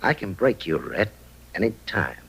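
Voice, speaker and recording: Calculating, threatening saloon owner, radio western; husky voice with very animated swings in pitch. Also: male voice, moderate pace, exaggerated voice, low-quality recording. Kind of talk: old-time radio western acting.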